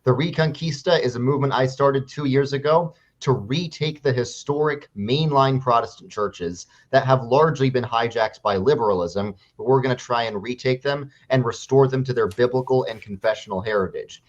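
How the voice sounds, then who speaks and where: Man, online interview host, upbeat, affirming, middle pitch, dull lo-fi audio. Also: moderate pace, few pauses. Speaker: YouTube host.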